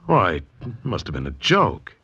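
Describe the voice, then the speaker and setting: An actor playing an anxious, eager artist in a 1940s radio drama, excitable and full of questions. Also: male voice, sits low, normal speed, breathy edge, heavily acted. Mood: puzzled, eager. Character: anxious, eager, boyish artist, adult man. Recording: dull 1940s radio recording.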